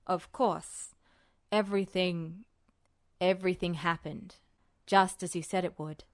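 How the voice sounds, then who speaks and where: A composed older man voiced by a woman reader in a story, set low. He speaks formally and with measured understatement, guarded yet caring. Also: steady tone, no room sound, studio quality, lightly acted.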